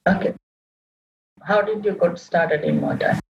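A podcast host asking plain, hesitant questions with fillers. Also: male voice, high-pitched, measured pace. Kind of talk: interview questions. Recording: dull lo-fi with clear background noise.

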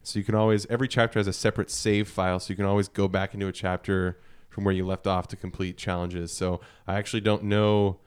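A man in casual conversation about video games as a podcast panelist, explaining things in a halting, thinking-aloud way. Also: low voice, flat delivery, clear, resonant tone.

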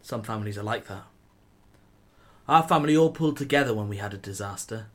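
A man's voice: fairly low pitch, expressive, fast talker, a bit bright. A man playing an innocent, reluctant teenage boy in a radio play, speaking plainly and earnestly. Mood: earnest, naive. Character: reluctant sixteen-to-eighteen-year-old Welsh farm lad, earnest, innocent. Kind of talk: amateur radio play acting and narration.

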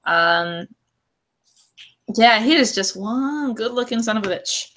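A woman with a bright, forward voice, pitched a little high. She co-hosts a casual film chat and talks in an effusive, slangy, gushing style. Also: expressive, slow, slight background noise. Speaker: podcast co-host. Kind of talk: casual chat about films.

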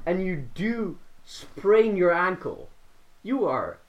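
A loud young man jokes his way through a home podcast in his bedroom. His voice sits a bit high and bounces around a lot in pitch as he insists on his own bits. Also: animated, slow pace, highs cut off. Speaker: podcast co-host. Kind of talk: casual banter among friends.

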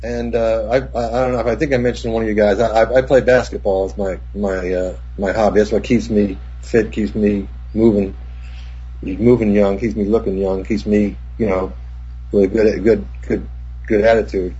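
An older man, a cinematographer, telling rambling, profane set stories with many restarts, in a husky voice. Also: fairly low pitch, steady tone, moderate pace, warm tone. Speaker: cinematographer guest. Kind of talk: casual anecdote. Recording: dull lo-fi recording.

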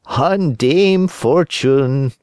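A scheming storybook cat that drawls back whatever the fox says, as the reader puts it on. The voice is high and very slow, recorded dry and close. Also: darkish tone, clean tone, no room sound. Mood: sly. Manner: slow, parroting.

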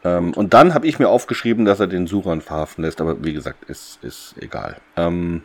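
A man's voice: low-pitched, animated, fairly quick. Man chatting casually as podcast co-host. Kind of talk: casual chat recapping a radio play.

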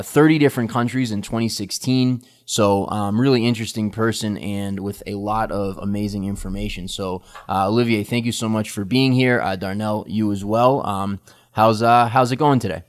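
A man hosts a casual podcast interview, his voice sitting fairly low. He stops and restarts often, filling gaps with ums and uhs as he introduces his guest. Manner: halting, many fillers and restarts. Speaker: podcast host.

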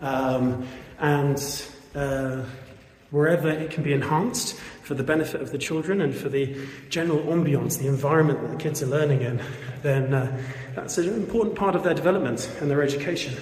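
A man's voice: on the high side, brisk, darkish tone. Chatty man in an echoey hall. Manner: chatty, earnest, many fillers. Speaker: volunteer fundraiser showing a school. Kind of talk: casual walkthrough vlog. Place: large old school building indoors. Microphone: handheld camera. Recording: echoey hall, long reverb.

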